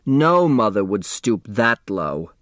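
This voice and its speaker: A man's voice: fairly low pitch, sing-song, takes it slowly, a bit bright, dry recording, studio quality, exaggerated voice. A man voicing a fussy, dramatic, haughty and scornful woman in an audiobook. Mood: indignant. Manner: haughty, indignant.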